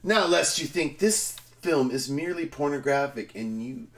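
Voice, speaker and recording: A man performs a theatrical dramatic reading, recorded a little away from the microphone. His medium-pitched voice moves very widely, going from emphatic outbursts to hushed, stretched-out phrases. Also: animated, far from the mic, soft background music. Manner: theatrical, swinging between emphatic outbursts and hushed, drawn-out delivery. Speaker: streamer giving a dramatic reading. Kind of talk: dramatic reading of a written review.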